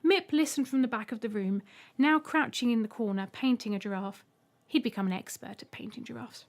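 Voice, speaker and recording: High-voiced woman narrating a story. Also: a little fast. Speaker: audiobook narrator.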